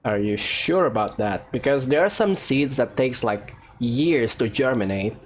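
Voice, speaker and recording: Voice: mid-range voice, lively intonation, measured pace, slight background noise. A gamer giving casual, reactive commentary on a playthrough, musing aloud in a hesitant way. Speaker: video game player commenting on a playthrough.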